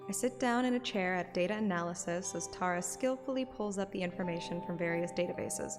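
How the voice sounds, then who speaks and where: A woman's voice: on the low side, fairly quick. A woman reading a scripted first-person story like an audiobook, with music behind her voice. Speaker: narrator and streamer.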